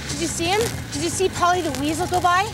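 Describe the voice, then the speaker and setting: A young woman playing an obsessive female superfan who chases her idol through a snowy forest, pleading in a shrill, clingy, frantic way. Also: fairly even intonation, quick pace. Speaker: comedy sketch player. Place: snowy forest trail outdoors. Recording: noisy VHS tape with engine hum.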